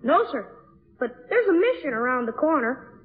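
An actor playing an eager, polite ten-year-old boy with a halting, youthful voice in a 1950s radio drama. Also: sits high, very animated. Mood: earnest. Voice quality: youthful, boyish. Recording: muffled vintage 1950s radio recording.

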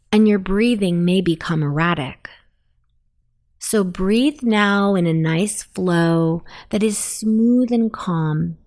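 A woman narrating a guided sleep meditation in a soothing, deliberate manner. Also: fairly low pitch, warm tone.

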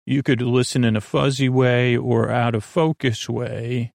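A man hosting a sleep podcast in a creaky, soothing voice, meandering through tangents, trailing off and restarting his sentences. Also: mid-range voice, steady tone, unhurried, a little mellow, no echo, clean studio sound. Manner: lulling, soothing. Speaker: podcast host. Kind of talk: rambling sleep podcast monologue.

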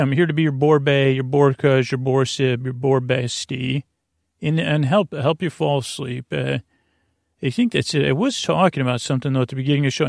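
Gravelly, rambling man hosting a sleep podcast. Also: medium pitch, no echo, clean studio sound. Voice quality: gravelly, creaky voice. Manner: halting, stammering, trails off and loses his thread. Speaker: host of a bedtime sleep podcast. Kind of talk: rambling, meandering monologue to lull listeners to sleep.

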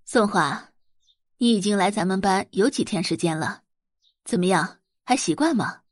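A woman's voice: medium pitch, lively intonation, moderate pace, dry recording, natural voice. Lady as a young female teacher, kind and encouraging, big sister vibe, dry recording. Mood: kindly.

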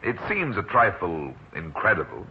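Suspicious American small-town big shot, low rough voice, pointed delivery, tinny vintage radio. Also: male voice, wildly swooping pitch, normal speed, full character voice. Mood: smoothly suspicious. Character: suspicious, self-important small-town politician man. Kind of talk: 1940s radio drama dialogue. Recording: very tinny old radio recording.

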